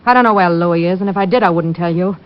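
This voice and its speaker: A woman's voice: on the low side, fast talker, close to an everyday voice. A woman playing a brassy, jealous carnival dame in an old radio detective drama, snappy, slangy, sarcastic and hostile. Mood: spiteful, dismissive. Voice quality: hard-boiled, slangy. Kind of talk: 1940s-50s radio detective drama acting. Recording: muffled old radio recording.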